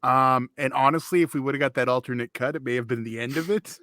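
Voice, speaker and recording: Bright, higher-voiced man. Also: quick pace, no echo, studio quality. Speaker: podcast co-host. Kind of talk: casual film review chat.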